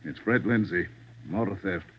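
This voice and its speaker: A man's voice: low voice, moderate pace. An actor playing a somber crime lab man who talks in a terse, grim manner in a 1940s radio drama. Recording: muffled, noisy old radio recording.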